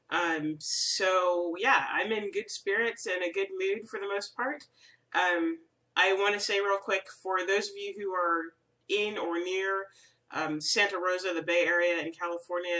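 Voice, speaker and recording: A woman's voice: on the low side, pushed and bright, recorded from a distance, poor audio. Stuffy-nosed woman chatting at home. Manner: rambling, friendly, lots of ums. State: stuffy from a cold. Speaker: crafting video host. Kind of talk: casual chatty vlog. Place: room at home.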